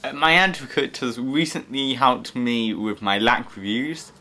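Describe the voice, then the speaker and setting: A man's voice: medium pitch, unhurried, bright, forceful tone. A young man with an English accent speaking earnestly and a little hesitantly about the help he gets, with frequent fillers. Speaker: young person using the service. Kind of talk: interview testimony.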